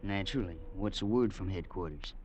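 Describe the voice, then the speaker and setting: An actor playing a dry, sardonic cavalry soldier with an American accent, wry and plain-spoken, in old-time radio drama. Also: male voice, low-pitched, fairly quick, darkish tone, highs cut off, close to an everyday voice. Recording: noisy vintage radio recording.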